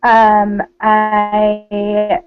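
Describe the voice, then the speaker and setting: A woman from Michigan introducing herself as a fellow on a video call, in a halting way. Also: mid-range voice, monotone, clear, resonant tone, faint music behind. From Michigan, USA. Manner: halting, uneven pace. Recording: tinny phone-like call line.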